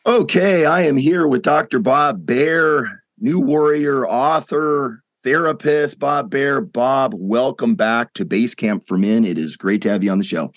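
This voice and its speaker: A middle-aged man hosting a podcast, hoarse and raspy from a head cold, speaking in an earnest, inspirational way. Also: mid-range voice, unhurried, dry recording, clean studio sound. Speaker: podcast host. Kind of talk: podcast monologue and interview.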